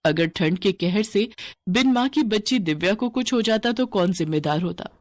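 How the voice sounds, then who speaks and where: A woman's voice: medium pitch, expressive, moderate pace. A woman narrating a scripted story as its storyteller.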